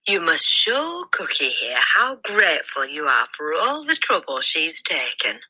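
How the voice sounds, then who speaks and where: Woman acting a tyrannical, forceful storybook headmistress. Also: low voice, huge pitch swings, slightly rough, exaggerated voice, low-quality recording. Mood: crafty. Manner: commanding, drawn-out, shouted orders. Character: tyrannical, bullying adult headmistress, explosive and sneering. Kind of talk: children's storybook read-aloud, character voice.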